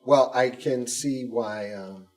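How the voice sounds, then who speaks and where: A man's voice: medium pitch, slow, recorded from a distance. A man casually hosting an interview show as a school communications director.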